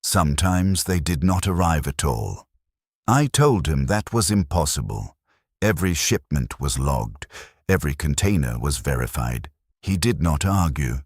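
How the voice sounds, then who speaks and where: Very deep-voiced man narrating a story. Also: a little mellow, breathy edge, dry recording, studio quality. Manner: measured, solemn storytelling in short deliberate sentences. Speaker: narrator of a fictional first-person war account. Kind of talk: scripted cinematic story narration.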